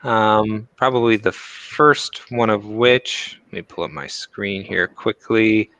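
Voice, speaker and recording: A man hosting a casual live stream with interns, relaxed and chatty. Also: on the low side, slow pace, no echo. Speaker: host.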